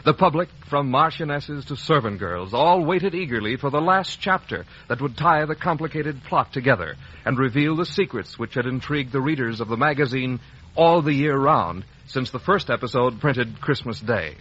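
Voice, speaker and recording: Casting: male old-time radio announcer, bright punchy delivery with big swoops, husky, emphatic. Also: medium pitch, expressive, moderate pace. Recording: dull vintage radio broadcast.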